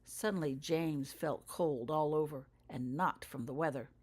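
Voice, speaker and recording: A woman's voice: low-pitched, measured pace, a little mellow. A woman reading her own fiction aloud as a scripted audiobook narration for younger listeners. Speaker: author reading own story.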